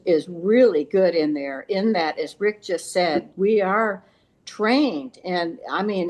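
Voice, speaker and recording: A woman chatting casually in an agreeable, affirming manner. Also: medium pitch. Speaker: group call participant. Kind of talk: casual chat.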